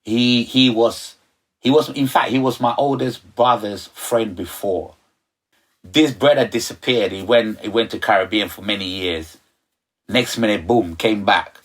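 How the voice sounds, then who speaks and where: A middle-aged man from Ghana, a guest talking in a loose, rambling way, often saying "you know". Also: medium pitch. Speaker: sound system operator, guest. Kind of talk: relaxed interview chat.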